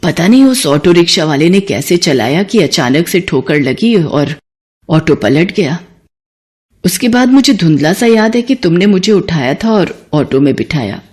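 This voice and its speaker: A woman doing a gentle kind older lady. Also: fairly low pitch, very animated, unhurried. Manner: gentle, soft-spoken.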